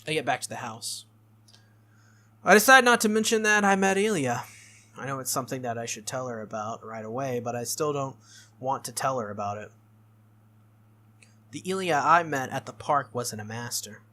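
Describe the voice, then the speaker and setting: A man's voice: mid-range voice, expressive, normal speed, pauses often, pushed and bright. A man narrating a visual novel aloud as a gaming video host, in a read-through style.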